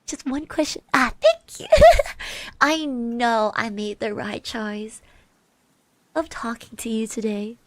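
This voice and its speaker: A woman playing a shy, sweet schoolgirl classmate in an ASMR roleplay, speaking softly and hesitantly to the listener. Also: a little high, fairly even intonation, slow, long pauses, background noise. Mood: sweetly pleading. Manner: hesitant, stammering, often dropping to soft murmurs and whispers.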